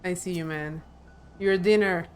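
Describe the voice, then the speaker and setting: Girl streaming a game, chatting casually, soft music behind her. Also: fairly low pitch, fairly even intonation, measured pace. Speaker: streamer playing and voicing a game.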